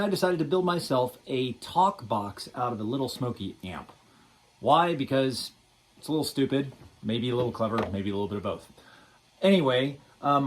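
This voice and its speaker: A man talking casually and off the cuff as a hobbyist showing a homemade project, thinking aloud with hesitations and a wry, self-deprecating manner. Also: medium pitch. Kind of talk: casual unscripted demo vlog.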